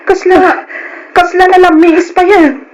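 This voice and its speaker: Concerned Ilocano friend, high pitch, earnest, respectful, old radio drama, faint noise. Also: female voice, little pitch movement, a little slow, poor audio. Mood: alarmed. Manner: earnest, worried. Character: concerned younger woman, respectful family friend.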